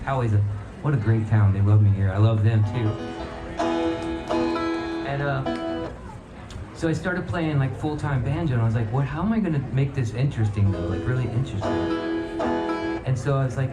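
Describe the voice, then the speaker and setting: A man's voice: mid-range voice, normal speed, long pauses, echoey room, lo-fi sound, over loud music. A middle-aged American man from Virginia, a banjo musician giving casual, rambling, folksy and upbeat banter between songs at a live festival. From Virginia, United States.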